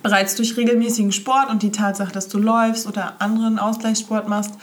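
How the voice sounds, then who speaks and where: A woman's voice: mid-range voice, flat delivery, fairly quick. A young woman hosting a casual solo podcast, stuffy-sounding from a cold, cheerful and chatty as she talks straight to the listener. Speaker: podcast host.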